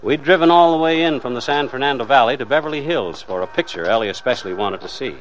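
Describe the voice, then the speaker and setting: A man's voice: medium pitch, expressive, quick pace, a little hiss or hum, lightly acted. An actor playing a plain-spoken, middle-aged professional family man in an old radio suspense drama. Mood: grim, weary. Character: weary middle-aged professional family man, matter-of-fact crime narrator. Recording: dull vintage radio recording.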